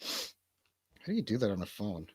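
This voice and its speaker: A man with a lowish voice hosts a casual livestream. He talks in an informal, bantering way. The sound is very dry, with no room echo. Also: wildly swooping pitch, unhurried, husky. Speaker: livestream host.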